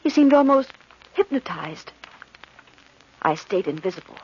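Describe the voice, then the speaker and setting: A woman's voice: medium pitch, very animated, unhurried, a little mellow, slight background noise. An actress playing an anxious, devoted young woman full of dread, earnest and melodramatic in old radio horror style. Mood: hushed dread. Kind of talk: old-time radio horror acting and narration. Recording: muffled old radio recording.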